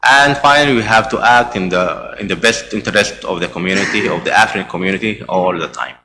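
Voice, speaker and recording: A man's voice: fairly low pitch, a little fast, noisy background. A man whose first language is Arabic, chairing a conference session, speaking hesitantly with many fillers and restarts. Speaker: meeting chair presenting the agenda. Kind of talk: conference presentation. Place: conference hall.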